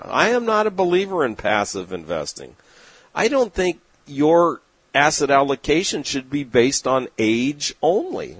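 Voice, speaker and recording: A middle-aged man, an investment manager, giving plain-spoken, opinionated market commentary with folksy turns of phrase and frequent pauses. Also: medium pitch, slightly rough, dry recording. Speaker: investment manager and newsletter writer. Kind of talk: direct-to-camera market commentary.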